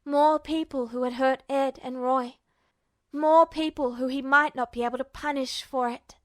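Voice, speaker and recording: A woman reading fiction aloud as an expressive audiobook narrator. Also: sits high, normal speed, no echo, clean studio sound.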